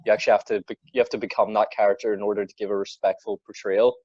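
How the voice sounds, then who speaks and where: A man's voice: fairly low pitch, flat delivery, racing, darkish tone, no echo, muffled recording. A teenage male podcast co-host chatting casually about films in a blunt, sweary way, often repeating words as he goes. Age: teens. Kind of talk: casual film discussion.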